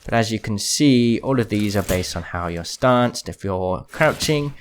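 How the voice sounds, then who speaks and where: Male gaming creator, lowish pitch, casual explainer tone, slight background noise. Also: expressive, unhurried. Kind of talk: casual explanatory gameplay commentary.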